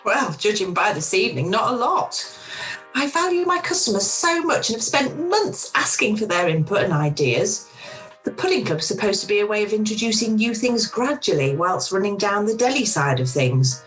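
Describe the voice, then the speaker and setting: A woman acting a middle-aged food lover in a home-made radio play, warm and unsure of herself. Her medium-pitched voice swings expressively, with music in the video-call recording. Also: sing-song, normal speed, poor audio. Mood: wry.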